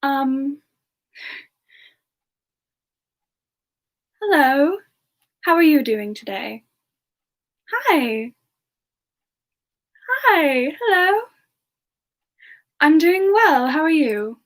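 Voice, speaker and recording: A woman voicing a gentle, friendly young siren demon in a semi-ASMR livestream chat, speaking softly and hesitantly with polite warmth toward listeners. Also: high voice, very animated, very slow, long pauses, heavily acted. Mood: shy, welcoming. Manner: soft-spoken, hesitant, polite, breaking into murmurs. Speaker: livestream host in character.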